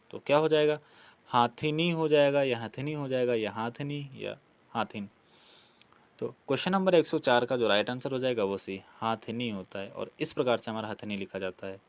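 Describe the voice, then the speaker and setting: A male teacher with a medium-pitched voice leads an exam-prep lesson. He reads each question aloud, then explains the answer in an instructive way. He often repeats key words as he goes. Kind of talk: online lesson, working through quiz questions.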